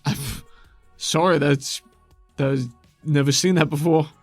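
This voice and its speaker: A man's voice: on the high side, fairly even intonation, a little slow, no room sound, faint music behind. A man voicing a polite, easily bewildered male companion in a tabletop game, hesitant and stammering. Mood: friendly, awkward.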